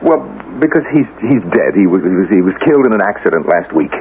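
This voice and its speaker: A man's voice: deep voice, sing-song, fast. Distraught, stammering man, wildly swooping. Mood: uneasy, insistent. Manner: agitated, stammering, repeating himself. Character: distraught, nervous businessman, haunted and defensive. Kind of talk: 1970s radio drama acting. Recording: tinny, noisy old radio broadcast recording.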